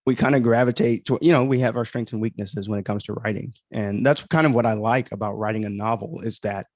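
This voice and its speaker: A man's voice: lowish voice, fast, a little mellow, no room sound, studio quality. A man hosting a casual livestream, commenting on his own creative work in a conversational, reflective way, thinking aloud as he goes. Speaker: livestream host and author.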